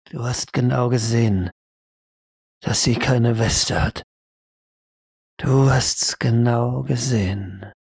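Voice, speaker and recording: A man serves as game master, setting scenes for a roleplay audio drama. He speaks very slowly in short, hushed phrases, and the recording is dry and close. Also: medium pitch, breathy edge, no echo. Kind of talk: atmospheric second-person roleplay narration.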